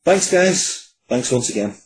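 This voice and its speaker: A man's voice: medium pitch, lively intonation, measured pace, very noisy. A man speaking as an interview guest and writer, casually and earnestly, in a reflective way. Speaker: guest, writer of fiction, comics and games.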